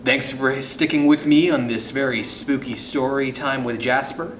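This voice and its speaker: A man reading a children's story aloud as host, with suspenseful pauses and hushed drops in his delivery. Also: medium pitch, fairly even intonation. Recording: roomy echo, faint background noise.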